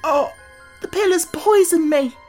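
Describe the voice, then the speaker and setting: A woman voicing a plucky, excitable young boy in a storybook, easily irritated and quick to exclaim. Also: medium pitch, soft background music, voice pushed up, natural voice. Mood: pained panic.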